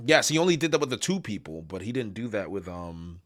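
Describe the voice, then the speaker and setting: Animated low-voiced man in a casual chat. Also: brisk. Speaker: co-host.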